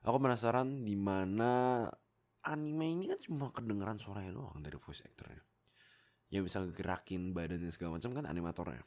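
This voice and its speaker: A young man, rambling casually and excitedly about himself into a close microphone. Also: fairly low pitch, a little fast. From Indonesia. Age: young adult. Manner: rambling, animated, exclamatory, often breaking into giggles. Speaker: YouTube creator and amateur voice actor. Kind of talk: casual, unscripted storytelling about oneself. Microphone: close USB microphone.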